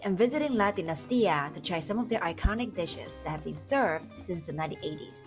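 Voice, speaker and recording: A young woman host narrating a food documentary in a clear, even storytelling tone. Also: lowish voice. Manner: clear, even storytelling with brief mm-hmm cues. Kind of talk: scripted documentary narration and interviewing.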